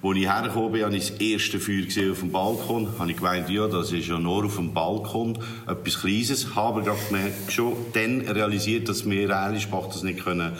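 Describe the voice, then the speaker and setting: A middle-aged man with a Swiss German accent speaks in a very deep voice. He answers interview questions off the cuff, like a fire commander recounting his work, in a room with strong echo. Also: steady tone, brisk, hardly any pauses, a bit bright, slight background noise. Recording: echoey room.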